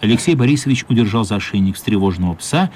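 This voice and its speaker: A man's voice: on the low side, fast talker. A man narrating a radio play as scripted, dramatic storytelling. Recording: light steady background noise.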